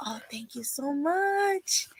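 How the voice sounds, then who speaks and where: A woman with a higher-pitched voice hosts a casual live panel chat. Her voice sounds hoarse, as if she is losing it, and the sound is close and dry. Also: expressive, takes it slowly, no echo. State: hoarse, losing voice with sore throat. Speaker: live stream host.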